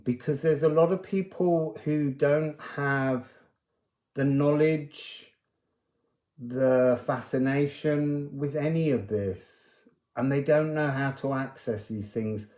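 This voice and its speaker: A man giving an unscripted spiritual card reading, musing aloud with pauses and emphatic phrases. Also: fairly high pitch, steady tone, mellow, reverberant. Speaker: card reader and spiritual guide.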